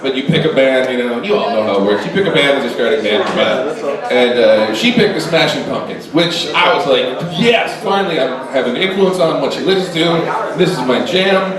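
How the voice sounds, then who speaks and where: A man's voice: medium pitch, lively intonation, fairly quick, crowd noise. A man in his forties doing a rambling, self-deprecating stand-up comedy set. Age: 40s. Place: comedy club stage with audience. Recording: echoey club room from a distance, audience laughter.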